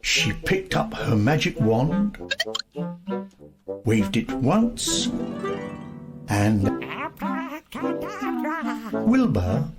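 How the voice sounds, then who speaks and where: Very animated, low-voiced woman storyteller. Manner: deliberate, expressive storytelling. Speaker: storybook reader. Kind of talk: scripted children's story narration. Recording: music behind the voice. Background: music.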